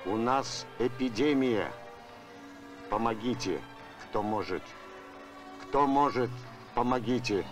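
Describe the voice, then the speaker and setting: A man voicing an ailing robot broadcasting a plaintive, repetitive distress call in an animated film. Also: medium pitch, slow, long pauses, over music, close to an everyday voice. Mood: pleading. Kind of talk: Soviet animated film acting.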